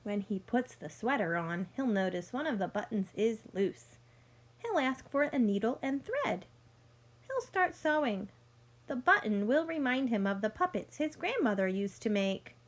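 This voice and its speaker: A woman reading a picture book aloud like a teacher, speaking deliberately to young listeners and explaining as she goes. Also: on the high side, sing-song. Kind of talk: read-aloud storytelling with teaching asides.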